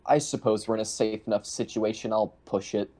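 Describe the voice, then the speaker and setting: A man's voice: lowish voice, steady tone, brisk, mellow. A man chatting casually as a player in a tabletop roleplaying game. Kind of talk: casual chat.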